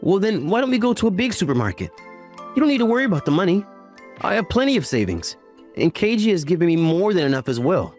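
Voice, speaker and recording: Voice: medium pitch, very animated, fast talker, a little mellow, close to an everyday voice. A performer voicing a caring, teasing young uncle in a romantic comedy manga story, mixing narration with dialogue in a mild manner. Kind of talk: anime-style recap narration and dialogue. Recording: music under the voice. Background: background music.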